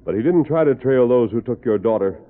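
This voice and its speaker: An actor playing a commanding, righteous masked western hero, with formal, declamatory phrasing in old radio style. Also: male voice, mid-range voice, expressive, a little fast, natural voice. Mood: accusing. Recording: narrow-band old radio recording, faint music.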